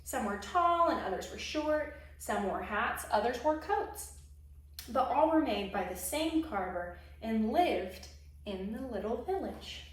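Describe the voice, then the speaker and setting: A woman reading a children's story aloud expressively, pausing to put questions to young listeners. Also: a little high, lively intonation. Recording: very echoey room, distant microphone.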